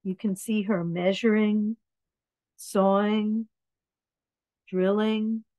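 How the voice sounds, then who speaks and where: A woman reading children's picture books aloud in an expressive way, adding asides about the pictures. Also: mid-range voice, drawn-out words, no echo. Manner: expressive, acts out words and dialogue.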